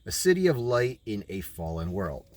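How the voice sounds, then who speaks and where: A man reading a book aloud as a narrator, in a deliberate way with informal asides. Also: lowish voice, big pitch swings, a little mellow.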